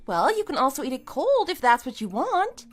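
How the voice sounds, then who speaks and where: An actress playing a put-upon but persistent middle-aged wife who reasons defensively in a scripted audio drama. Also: female voice, sits high, very animated, moderate pace, faint music behind. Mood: exasperated.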